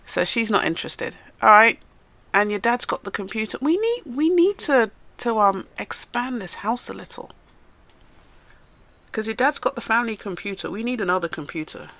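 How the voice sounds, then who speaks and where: A woman with a bright, tense voice that has some gravel in it, chatting through a life-sim let's-play. She is stuffed up from a cold, so she sounds nasal, and she talks straight to the characters on screen. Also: fairly high pitch, animated, normal speed. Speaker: gaming channel host. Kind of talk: casual let's-play commentary.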